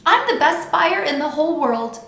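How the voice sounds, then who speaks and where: A woman's voice: high-pitched, animated, normal speed, fairly bright tone, voice pushed up. A woman reading a children's book as a brash, bragging little girl who talks in childlike, emphatic bad grammar. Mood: boastful. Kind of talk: children's book read-aloud. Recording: roomy echo with background noise.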